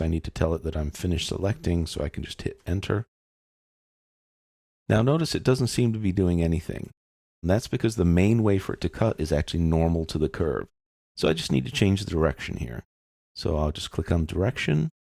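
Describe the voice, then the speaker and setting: Low-voiced man with a creaky, casual delivery. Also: a little mellow, dry recording, studio quality. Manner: casual, explanatory, thinking aloud. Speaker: software tutorial instructor. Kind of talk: informal step-by-step screen tutorial.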